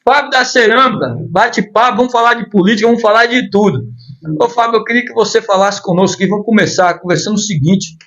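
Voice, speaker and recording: Podcast host guy, high voice, upbeat friendly intro vibe, dull lo-fi sound. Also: quick pace. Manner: energetic, friendly host patter.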